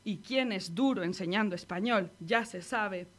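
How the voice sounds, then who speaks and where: Spanish woman reading a poem. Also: medium pitch. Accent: Spanish from Spain. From Spain. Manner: measured, intimate recitation. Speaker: poet reading her work. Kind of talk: poetry reading.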